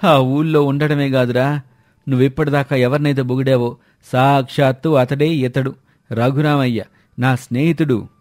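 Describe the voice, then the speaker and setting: Village friend for a moral story, male actor: familiar, jovial, teasing, medium pitch, soft music bed. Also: steady tone, moderate pace, dry recording, clean studio sound, close to an everyday voice. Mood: proud. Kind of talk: dramatised storybook dialogue.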